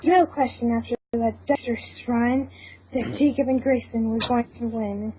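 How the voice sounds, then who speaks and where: A high-pitched woman narrates a story in an informal, giggle-prone way. She trips over a word here and there, and the sound is thin like a phone call with faint noise. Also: steady tone, normal speed. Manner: informal, breaks into giggles, stumbles over lines. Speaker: narrator of a fan fiction reading. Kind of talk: scripted story reading with giggly ad-libs.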